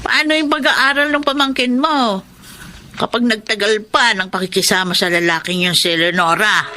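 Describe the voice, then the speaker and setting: A woman's voice: mid-range voice, moderate pace, background noise, close to an everyday voice. A woman playing an elderly, worried and doubting grandmother in a Filipino radio drama, with a Filipino accent.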